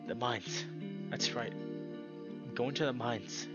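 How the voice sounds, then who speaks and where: A battered but determined coyote, played by a man, speaks quietly and weakly, calm and reassuring. Loud music sits under the slightly dull recording. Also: medium pitch, takes it slowly, natural voice. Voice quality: quiet, weak.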